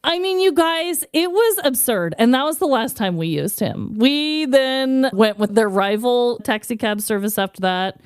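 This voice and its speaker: Animated, high-pitched woman podcast host. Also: measured pace, few pauses, clean tone, no room sound. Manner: animated, informal, occasional swearing. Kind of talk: casual comedic chat and storytelling.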